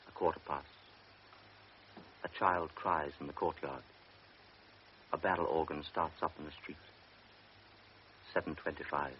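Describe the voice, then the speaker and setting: Very deep male narrator, measured, hissy dull old recording. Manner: measured, steady. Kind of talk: radio drama narration.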